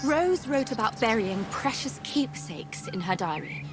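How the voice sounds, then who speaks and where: An anxious young mystic pleads earnestly in a high voice. Worry makes her words tremble. Clear music plays under her. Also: very animated, measured pace. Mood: pleading. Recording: game cutscene with music.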